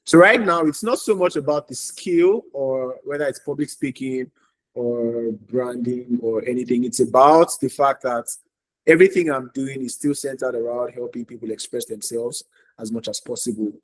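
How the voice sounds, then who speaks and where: A man's voice: mid-range voice, moderate pace, poor audio. Earnest man telling his story. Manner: earnest, storytelling, repeats phrases for emphasis. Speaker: guest. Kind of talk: casual podcast interview.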